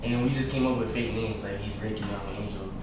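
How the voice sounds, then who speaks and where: A man's voice: on the low side, fairly even intonation, quick pace. A young man with a New York accent, chatting casually and in slang as a musician guest on a podcast. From New York, United States. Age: young adult. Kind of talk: casual slangy interview chat. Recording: echoey room, distant mic, background noise.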